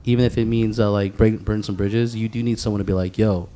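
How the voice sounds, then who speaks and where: A man's voice: fairly low pitch, fairly even intonation, quick pace, dark tone. Profane, rambling man hosting a podcast. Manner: profane, rambling, informal slang. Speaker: podcast host. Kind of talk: casual podcast banter.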